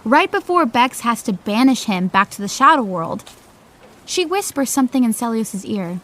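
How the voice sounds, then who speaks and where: A woman's voice: on the high side, very animated, moderate pace, a bit bright. A woman playing a pushy, playful young best friend in an audio drama, coaxing and insistent. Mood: coaxing, pointed. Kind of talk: naturalistic audio drama dialogue.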